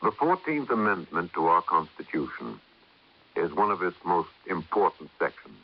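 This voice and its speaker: A man reading a solemn, measured public service announcement in a declamatory 1950s radio style. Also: low voice, big pitch swings. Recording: muffled, faintly hissy vintage radio recording.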